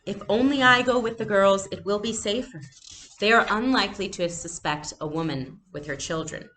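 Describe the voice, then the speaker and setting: A woman voicing a brave, calmly resolute storybook mother who reasons firmly. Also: mid-range voice, normal speed, slight background noise, voice pushed up, lightly acted, rough recording.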